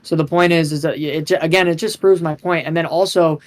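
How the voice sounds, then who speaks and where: A man's voice: fairly high pitch, fairly even intonation, fairly quick. A young man arguing insistently in an online debate, often repeating himself. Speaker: debate guest. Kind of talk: heated debate.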